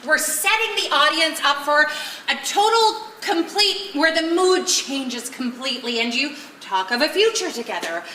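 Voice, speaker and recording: Woman playing upbeat rehearsal director, high voice, instructing and placating, stage comedy, echoey room. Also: expressive, normal speed, pushed and bright. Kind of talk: comedic stage play acting. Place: live stage at a one-act play festival. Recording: roomy stage sound with noise.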